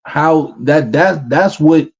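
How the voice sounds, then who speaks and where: A man in his thirties with a New York accent, a rapper answering interview questions in a relaxed way. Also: a little high, slow pace, husky, muffled recording. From Brooklyn, New York, USA. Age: 30s. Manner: relaxed, repeats "feel what I'm saying". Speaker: rapper guest.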